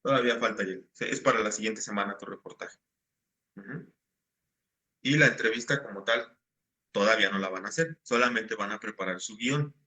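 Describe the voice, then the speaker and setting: A man's voice: lowish voice, brisk, pushed and bright, poor audio. A man, patiently explaining assignments to his class over a video call. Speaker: teacher. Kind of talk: online class instruction.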